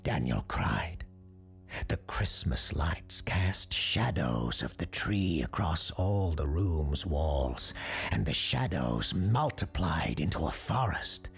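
Deep, gravelly, slow ghost-story narrator. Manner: hushed, deliberate, suspenseful. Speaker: storyteller, host.

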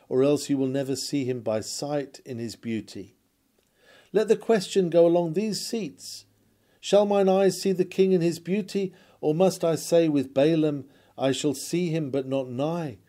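A man reads a sermon aloud with solemn, earnest delivery. His voice sits a little high yet has a soft, mellow tone. He declaims in an oratorical style, pausing for dramatic weight. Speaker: sermon reader.

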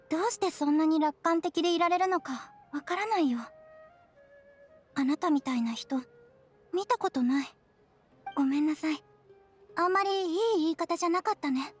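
An actress playing a shy, downcast, reserved young girl in an anime game, soft-spoken and hesitant. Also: high-pitched, lightly acted.

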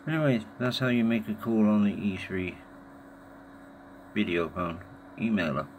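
A man giving a casual, halting hands-on demonstration, muttering to himself in an informal way. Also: on the low side. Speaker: tech video maker. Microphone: handheld phone or camera held over the device. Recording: noisy amateur handheld camera recording.

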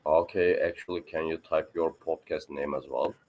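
A man's voice: extremely low pitch, fairly even intonation, normal speed, a little mellow, slight background noise, rough recording. A man in his twenties chatting over a phone video call, asking short curious questions. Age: 20s. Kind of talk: casual video-call chat.

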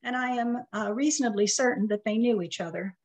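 A middle-aged woman whose first language is Russian, giving a prepared lecture in a measured, careful way, her phrases trailing off softly. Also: on the high side. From United States.

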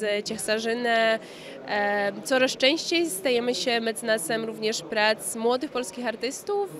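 Woman in her thirties, fairly high voice, enthusiastic explainer, trade fair interview, clear crowd noise behind. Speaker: company marketing manager being interviewed. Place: indoor exhibition hall in front of backdrop. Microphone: handheld interview microphone just below frame. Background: crowd hubbub.

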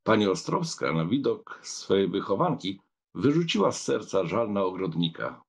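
A man narrating a literary audiobook in an expressive, measured storytelling manner. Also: deep voice, gravelly, husky.

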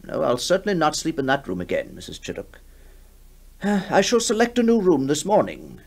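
A man acts out an imperious country squire for a 1960s radio play. He is hearty and impatient, with a commanding manner and pitch that swings freely. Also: huge pitch swings, normal speed, gravelly, breathy edge, exaggerated voice. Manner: commanding, clipped, dismissive. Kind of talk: 1960s radio drama acting.

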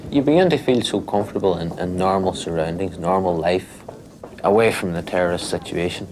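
A man's voice: low voice, brisk, noisy background. A young man, speaking thoughtfully in a documentary interview. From Northern Ireland. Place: small bedroom.

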